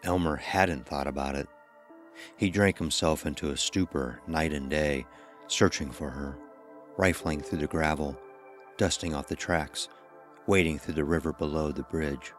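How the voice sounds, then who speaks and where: A man reading scripted horror narration in a measured, ominous storytelling manner. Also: very deep voice, fairly even intonation, soft background music.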